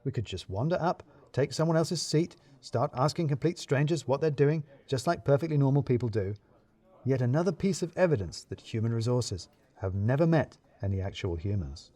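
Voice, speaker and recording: A man narrating a scripted first-person story in a wry, dryly comic way with deliberate phrasing. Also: mid-range voice, animated, mellow.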